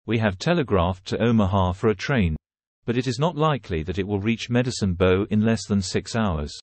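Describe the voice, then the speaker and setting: Brief: male reader voicing a low-voiced, no-nonsense conductor, dry close sound. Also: animated, a little fast, a little mellow, no room sound. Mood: firm. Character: stern male train conductor, firm and matter-of-fact.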